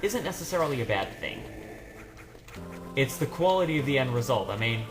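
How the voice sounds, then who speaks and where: A man's voice: mid-range voice, very animated, fairly quick, music in the background. A man giving casual gaming commentary as a let's play host, rambling off on tangents as he plays.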